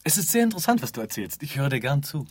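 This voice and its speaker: Husky, impish Nothingness personified. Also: male voice, fairly high pitch, sing-song, racing, dry recording. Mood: naive, mild. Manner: naive, teasing, matter-of-fact. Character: Nothingness personified, a simple, impish, unflappable male companion. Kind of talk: theatrical German radio play acting.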